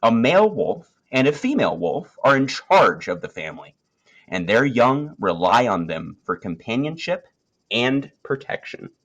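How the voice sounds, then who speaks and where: A man reading a children's nature story aloud, with expressive, emphatic phrasing and dramatic pauses. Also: medium pitch, muffled recording.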